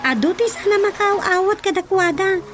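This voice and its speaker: A woman's voice: extremely high pitch, moderate pace, a bit bright. An actress with an Ilocano Filipino accent playing a friendly village woman in a radio drama. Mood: chatty. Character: Rosa, friendly woman active in village farm projects. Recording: music bed behind the voice.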